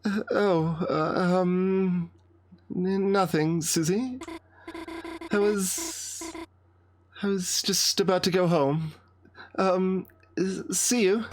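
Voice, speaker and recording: A man's voice: high-pitched, extremely slow, pauses often, voice pushed up. A man voicing a shy, nervous young monster girl from a game, stammering and hesitant. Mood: nervous, flustered.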